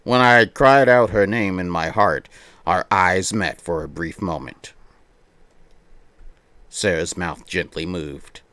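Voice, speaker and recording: A man livestreaming as he reads a visual novel aloud, mixing theatrical narration with playful, excitable banter in a close, dry-sounding setup. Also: low voice, slow, long pauses, clean tone, dry recording.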